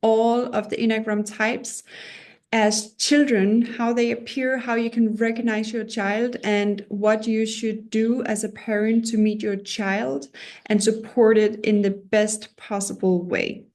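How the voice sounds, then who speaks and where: A woman's voice: on the high side, level tone, a little slow, darkish tone. A woman from Denmark in her thirties with a Danish accent, giving the introduction to an online parenting course in an earnest, personal way with small restarts and self-deprecating asides. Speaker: course teacher.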